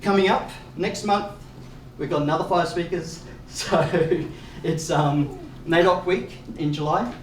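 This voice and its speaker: A man hosting a meetup, giving upbeat announcements with many fillers. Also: on the high side. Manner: upbeat, many fillers, quick asides with chuckles. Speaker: meetup organiser and host. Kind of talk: event welcome and announcements. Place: event space. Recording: echoey room from far off with faint noise.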